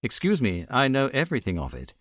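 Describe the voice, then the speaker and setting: A knowing British gentleman detective, acted by a male reader in a classic audiobook. He speaks smoothly and with assurance, his pitch moving freely, recorded dry and close. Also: huge pitch swings, fast talker, dry recording. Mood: bland, assured.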